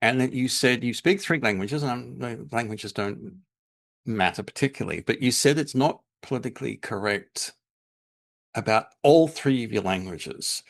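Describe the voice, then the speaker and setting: A man's voice: medium pitch, moderate pace, gravelly. A man hosting a podcast, with a mix of North American and English accent, talking casually and repeating words as he searches for them. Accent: mixed North American and English. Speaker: podcast host. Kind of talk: casual conversation.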